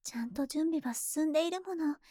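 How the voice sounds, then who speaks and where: A woman voicing a lovesick, obsessively devoted female spider monster in an ASMR voice drama, speaking in soft, intimate, doting tones. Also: high voice, no echo, studio quality, lightly acted. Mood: elated, infatuated. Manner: slow, intimate, doting feminine speech. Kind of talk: Japanese ASMR situation voice drama.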